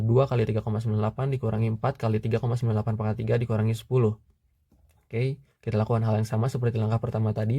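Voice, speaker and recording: A man's voice: mid-range voice, no echo. A young man narrating a screen-recorded math tutorial, talking through steps methodically with frequent "eh" fillers. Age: young adult. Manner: steady, methodical, pauses with "eh" fillers. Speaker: student tutor.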